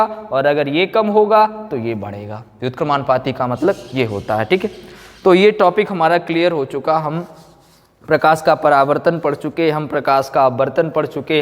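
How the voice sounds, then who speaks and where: A man lecturing as a physics teacher, explaining with repetition, rhetorical questions and frequent check-ins. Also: a little high. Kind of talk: classroom lecture. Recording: echoey hall, long reverb.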